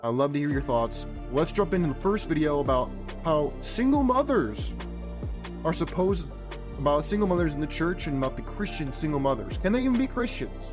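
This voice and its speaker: A man hosting a podcast, his voice partly gone and hoarse, talking in a provocative, sarcastic, lecturing way. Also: a little high, very animated, brisk, over music. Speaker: podcast host. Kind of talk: opinionated podcast monologue.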